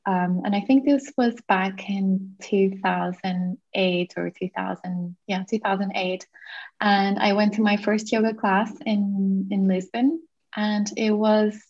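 A woman in her thirties, originally from Portugal, guests on a podcast as a yoga teacher. She talks reflectively and gratefully, pausing on small fillers as she thinks. Her voice comes through a slightly dull, lo-fi channel. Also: medium pitch, a little mellow. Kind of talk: conversational interview.

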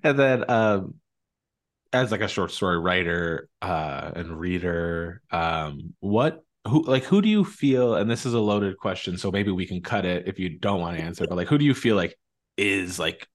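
A man's voice: deep voice, moderate pace. A man hosting a podcast interview in a casual, informal, effusive way.

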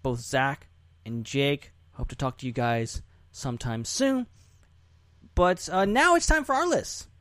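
Man, podcast host, animated intonation, dry close sound, informal chat, emphatic repetition. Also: mid-range voice, measured pace, no echo. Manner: informal, repeats short phrases for emphasis.

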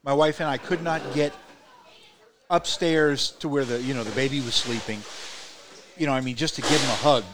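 A man's voice: mid-range voice, fairly quick, pauses often, noisy background. A man from Indiana, a podcast co-host, telling a story in a rambling way full of "you know what I mean" asides. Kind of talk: casual chat, storytelling.

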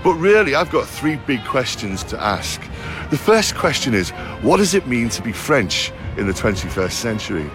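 A middle-aged man with a Liverpool accent presents a travel documentary, talking chattily and straight to the audience. His voice sits at an ordinary middle pitch. Music plays clearly beneath him. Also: sing-song, moderate pace. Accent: Liverpool (Scouse). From Liverpool, England. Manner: chatty, direct address to viewers.

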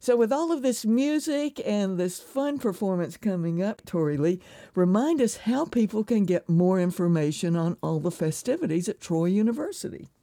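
A woman's voice: mid-range voice, expressive, moderate pace, dark tone, dry recording. A woman hosting a radio interview, asking friendly, conversational questions. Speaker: radio show host.

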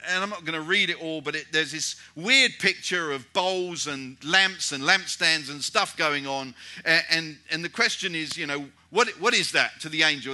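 A man's voice: a little high, sharp tone. A man from Britain preaching a sermon in a conversational way, with many restarts and repeated rhetorical questions.

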